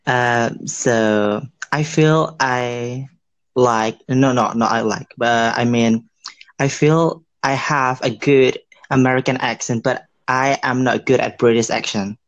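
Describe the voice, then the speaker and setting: Halting host with nonstandard English. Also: male voice, mid-range voice, fairly even intonation, no room sound. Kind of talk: casual interview chat.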